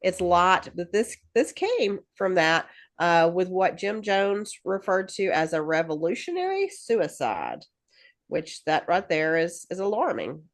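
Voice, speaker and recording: A woman's voice: fairly low pitch, sing-song, unhurried. A woman co-hosting a podcast in casual chat, rambling with lots of fillers and restarts and laughing easily. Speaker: podcast co-host.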